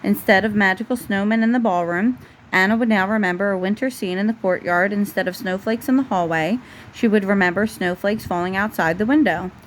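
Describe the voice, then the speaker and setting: A woman's voice: medium pitch, a little fast, poor audio. A woman with a mid-range voice reads a bedtime storybook aloud as a parent. It is a home recording with light noise behind her.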